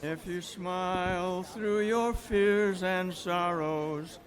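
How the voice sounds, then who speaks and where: Very slow, gentle street singer, played by a man. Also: sits high, fairly even intonation, soft background music. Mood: gentle, grateful. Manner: slow, courteous, half-sung. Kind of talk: 1930s radio acting.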